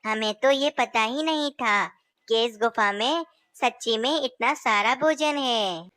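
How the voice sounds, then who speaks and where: A woman doing a sly high-voiced cartoon owl. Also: slow, bright, forceful tone, dry recording. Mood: apologetic. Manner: sly, then apologetic. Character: scheming male owl brothers. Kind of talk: Hindi cartoon voice acting.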